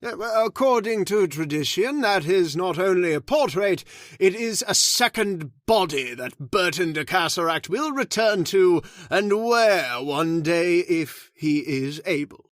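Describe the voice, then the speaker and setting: Guy doing a grave old caretaker in a radio play, slow and ominous, dry studio sound. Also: high voice, animated, fairly bright tone, no echo. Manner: slow, ominous, measured delivery. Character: grave, solemn male storyteller of the house. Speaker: Mister Roberts, old manor caretaker telling the legend. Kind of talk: old-time radio drama acting.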